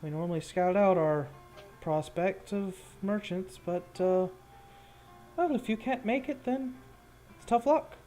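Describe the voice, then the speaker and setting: A man's voice: sits high, measured pace, dark tone, soft background music, pitched above the performer's own voice, poor audio. A man voicing an evasive, polite merchant scout in a tabletop game, hesitant as he brushes people off.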